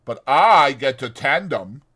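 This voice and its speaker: A man's voice: mid-range voice, big pitch swings, takes it slowly, sharp tone, pitched above the performer's own voice, full character voice. A man voicing a big, simple-minded ranch laborer, childish, insistent and pleading, for a dramatized audiobook. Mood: pleading, eager.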